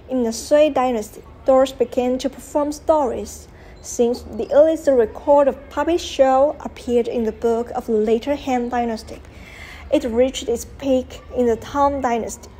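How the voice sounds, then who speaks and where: A woman's voice: high voice, dark tone. A young woman reading a scripted documentary narration in a careful, read-out manner. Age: young adult. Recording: clear background noise.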